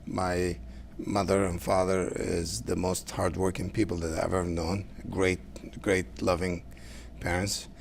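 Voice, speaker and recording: A middle-aged man from Lebanon, speaking English, answering interview questions earnestly and haltingly, with many fillers. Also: deep voice, little pitch movement, gravelly, slight background noise. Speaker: guest, council candidate.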